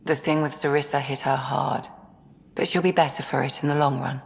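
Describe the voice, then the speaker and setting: Low-voiced, perceptive crew counselor. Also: female voice, fairly even intonation, long reverb tail. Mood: calm, reassuring. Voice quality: low, slightly gravelly. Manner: measured, reassuring. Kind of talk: video game dialogue acting.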